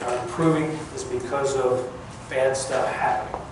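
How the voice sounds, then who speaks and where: An older man, a former air traffic controller, gives an informal talk in a plain-spoken, halting, folksy way. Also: mid-range voice, level tone. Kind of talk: informal public talk recounting career. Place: large echoey museum room. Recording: echoey hall, distant mic, clear background noise.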